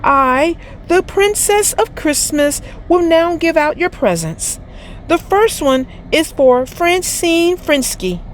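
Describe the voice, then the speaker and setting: A woman's voice: very high voice, expressive, slow pace, a little hiss or hum, pitched above the performer's own voice, full character voice, poor audio. A woman voicing a bossy, self-important young girl in a children's storybook, with a grand, commanding way of announcing things. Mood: grand, imperious.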